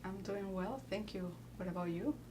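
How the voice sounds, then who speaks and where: A woman in her forties from Peru with a Peruvian Spanish accent, chatting casually as an interview guest in a relaxed way with soft fillers. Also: medium pitch, moderate pace, noisy background. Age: 40s.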